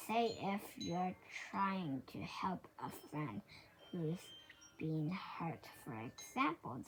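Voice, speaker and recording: Woman reading as a polite, dutiful library man, unhurried. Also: on the low side, a little hiss or hum, full character voice, low-quality recording. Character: male library worker, polite, dutiful, quietly helpful.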